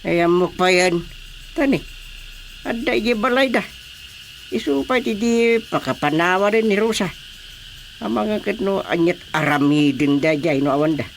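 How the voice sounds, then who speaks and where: A woman's voice: on the low side, moderate pace, pauses often, slight background noise, natural voice. A woman playing a talkative, teasing village character in a comedy radio drama, often exclaiming. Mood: worried.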